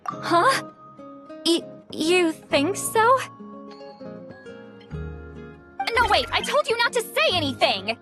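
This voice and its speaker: A woman's voice: very high-pitched, very animated, very slow, long pauses, pushed and bright, soft background music, exaggerated voice. A woman playing a proud, irritable and easily flustered young woman in a video game, huffy and exasperated. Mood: embarrassed.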